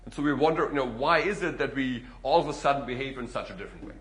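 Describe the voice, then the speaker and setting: A man's voice: medium pitch, quick pace. German-accented middle-aged man lecturing in an echoey room. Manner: relaxed, frequent "uh" and "you know" fillers. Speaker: guest speaker, economics professor. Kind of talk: public lecture.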